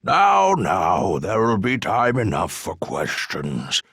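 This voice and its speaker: A gravelly, deep-voiced, unhurried island hermit. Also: male voice, huge pitch swings, fairly bright tone, faint music behind, exaggerated voice. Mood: welcoming. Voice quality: gravelly, husky. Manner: courteous, wistful, unhurried. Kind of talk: video game cutscene acting.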